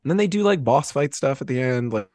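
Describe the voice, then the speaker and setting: A man chatting casually in an excitable, effusive way. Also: mid-range voice, fast, dry recording. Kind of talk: casual chat.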